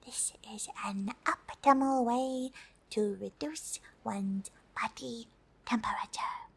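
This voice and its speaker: A woman voicing a cute, friendly, curious pink alien in a soft, breathy, gently hesitant ASMR whisper. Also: fairly high pitch, slow, full character voice. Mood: softly instructive. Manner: gentle, hesitant, third-person speech with careful scientific wording. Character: cute, curious, friendly pink female alien explaining human summer tips. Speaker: host.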